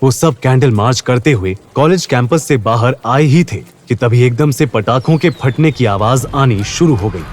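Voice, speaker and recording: A man's voice: mid-range voice, expressive, normal speed, few pauses, slight background noise. A man narrating an audio drama serial from a script in a suspenseful, dramatic storytelling style.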